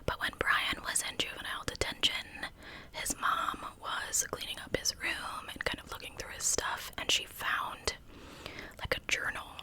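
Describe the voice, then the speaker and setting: A woman telling true crime stories as ASMR in a breathy, unvoiced whisper with a conversational manner. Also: unhurried, low-quality recording. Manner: conversational narration.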